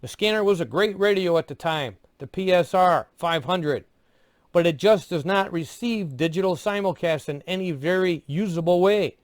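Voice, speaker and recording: A man's voice: high-pitched, moderate pace, no room sound. A man narrating a product review in a conversational, scripted way, plainspoken, with short clipped phrases and dry asides.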